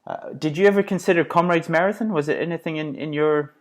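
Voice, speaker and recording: A man with a South African accent who hosts a podcast and fires off quick, upbeat casual interview questions. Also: fairly high pitch. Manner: brisk, upbeat, laughs often. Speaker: podcast host.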